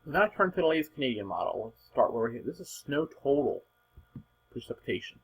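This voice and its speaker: A man with a husky, rough-edged voice talks through a weather forecast off the cuff. His pitch moves around a great deal as he stresses his points. He sounds like an informal forecaster, emphatic and given to repeating himself. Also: very animated, a little fast, rough recording. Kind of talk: informal weather model commentary, unscripted.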